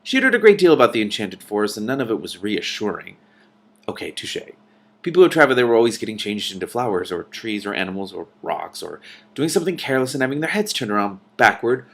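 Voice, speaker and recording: A man reading a novel aloud as host, with animated, casual reactions between passages. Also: fairly low pitch, fast talker, gravelly.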